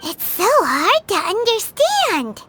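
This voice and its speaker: A woman's voice: extremely high pitch, huge pitch swings, takes it slowly, very noisy, full character voice. A woman voicing a tiny, cheerful, childlike fairy companion in a video game, chatty and exclamatory. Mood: puzzled. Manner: refers to self in third person, exclamatory.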